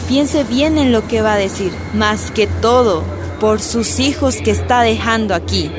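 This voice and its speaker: An actress playing a smug government official in a radio drama, falsely sweet with menace underneath. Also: female voice, fairly high pitch, a little slow, music in the background, lightly acted. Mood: veiled menace. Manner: falsely sweet, veiled threats.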